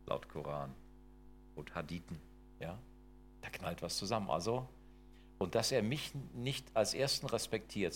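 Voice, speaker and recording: Emphatic lecturing man with a low voice. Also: fairly bright tone, a little hiss or hum. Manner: emphatic, rhetorical questions, tag questions like "ne?" and "ja?". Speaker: lecturer on intercultural work. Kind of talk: lecture with slides.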